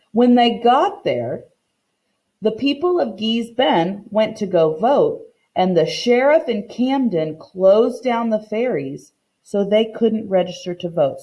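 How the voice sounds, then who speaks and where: A woman reads a children's story aloud in a teacherly, deliberate way. Her voice is soft-edged and mellow, with very lively pitch movement and a slow, careful pace. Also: rough recording. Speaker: teacher reading a picture book aloud. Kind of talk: expressive storybook read-aloud with comprehension questions.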